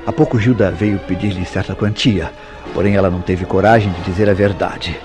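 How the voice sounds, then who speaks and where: A man acting a jealous, tormented lover in a radio soap opera, with theatrical formal diction and dramatic pauses. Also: low-pitched, steady tone, music in the background, lightly acted. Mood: bitter, intense. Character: Mauro, jealous, tormented lover confessing a crime.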